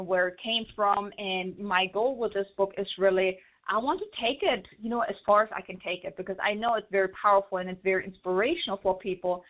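A woman with a German accent, an author talking fast and stumbling over words as an interview guest. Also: medium pitch. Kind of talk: casual interview chat. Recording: phone-line audio.

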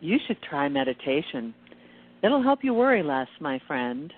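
A woman playing a calm, cool, sanctimonious friend who pries into feelings in a radio play. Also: deep voice, a little slow, heavily acted. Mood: serene, coaxing. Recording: thin phone-like audio.

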